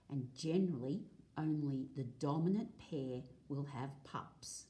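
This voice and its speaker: A woman reading aloud as a teacher, explaining in a measured way, phrase by phrase. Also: low voice, mellow. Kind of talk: read-aloud lesson of a non-fiction picture book. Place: indoors at a table, filming a book.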